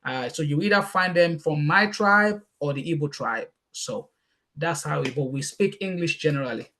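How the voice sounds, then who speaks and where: Fast, passionate Nigerian man with a raised voice. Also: on the high side. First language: Yoruba. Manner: passionate, fast and emphatic, repeating words when excited. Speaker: guest speaker. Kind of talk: panel talk answering questions.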